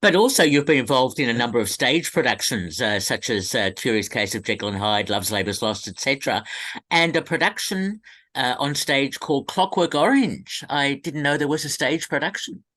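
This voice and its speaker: A man's voice: medium pitch, expressive, normal speed, a bit bright. A man hosting an interview in a conversational, appreciative manner. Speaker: interview host.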